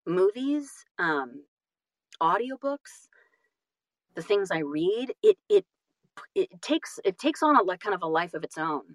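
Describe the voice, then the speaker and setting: A woman talking reflectively as an interview guest, animated and self-deprecating, often halting and repeating phrases for emphasis. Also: on the low side, no room sound. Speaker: guest, audiobook narrator and voice-over artist.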